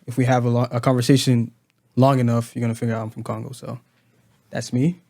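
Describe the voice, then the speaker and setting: A man's voice: medium pitch, flat delivery, fast, vocal fry, a little mellow. A young man in his twenties from South Africa, chatting casually on a podcast with an easygoing manner and ready laughter. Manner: easygoing, laughs between words. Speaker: podcast co-host. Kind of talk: casual chat.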